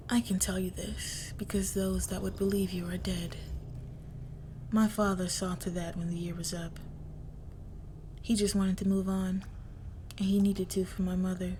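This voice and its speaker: Woman acting as slow, hushed, menacing mafia daughter. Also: medium pitch, level tone, slight background noise, close to an everyday voice. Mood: conspiratorial. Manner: hushed, slow, deliberate, breathy near-whisper. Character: cold, menacing young crime boss's daughter confessing murder. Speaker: audio roleplay voice actor. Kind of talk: intimate scripted audio roleplay, dramatic monologue.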